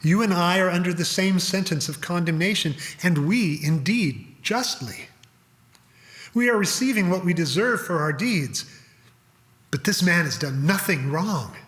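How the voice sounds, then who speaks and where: A man's voice: high-pitched, lively intonation, a little slow, fairly bright tone. A man voicing an earnest, humble, penitent dying thief in a dramatic reading. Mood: earnest, pleading.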